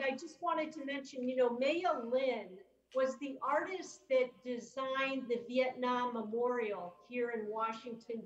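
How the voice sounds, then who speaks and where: A middle-aged woman from upstate New York delivers a conference keynote over a video call, upbeat and chatty with casual asides. She speaks slowly in a slightly high voice. The audio is roomy and a little dull. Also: a bit bright.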